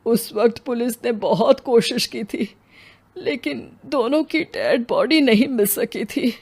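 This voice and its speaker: A heartbroken old village headman , voiced by a woman, speaks high and slow, his words shaking with tears. Each line drags as grief wears him down, in a cartoon horror tale. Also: darkish tone, breathy edge, heavily acted. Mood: sorrowful. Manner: slow, sobbing, breaking into weeping. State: crying. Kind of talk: Hindi cartoon voice acting.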